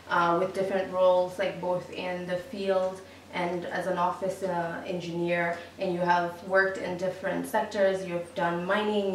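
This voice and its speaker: A woman hosting an interview, asking measured questions and encouraging the guest with frequent short replies. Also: lowish voice. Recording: echoey room, distant mic, faint noise.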